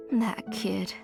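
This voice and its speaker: A woman's voice: mid-range voice, creaky. A woman voicing an older, doting mother in a video game, with a fussing, motherly manner. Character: grieving, doting older mother, retired forger.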